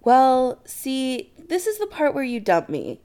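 Anxious young man, read by a woman, hesitant and disbelieving, on the high side, lively intonation, audio story. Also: unhurried. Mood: apprehensive. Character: anxious, incredulous young man, reluctant mind reader.

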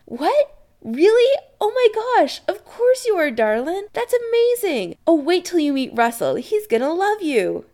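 Effusive middle-aged sorceress mother, a narrator's character, female, cheerful, gushing, high and swooping, storybook. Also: wildly swooping pitch, unhurried, darkish tone, full character voice. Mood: delighted gushing.

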